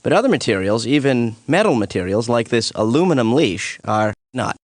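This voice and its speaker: A man's voice: medium pitch, very animated, moderate pace, dry recording, clean studio sound. A man voicing a laid-back, jokey science professor in a cartoon, explaining things in a breezy, casual way. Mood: patient explaining. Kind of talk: animated educational courtroom dialogue.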